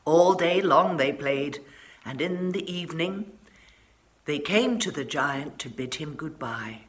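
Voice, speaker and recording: Woman, 60s, storybook reader. Slightly low voice, very unhurried, dramatic with hushed dips. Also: lively intonation. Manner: unhurried, dramatic phrasing, dropping to hushed tones. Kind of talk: expressive children's storybook reading. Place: furnished living room, indoors.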